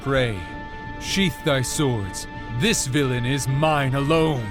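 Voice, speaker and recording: A man as a slow, intense tragic hero. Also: mid-range voice, over music, natural voice. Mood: vengeful. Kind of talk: fandub of fantasy game, mock-Shakespearean acting.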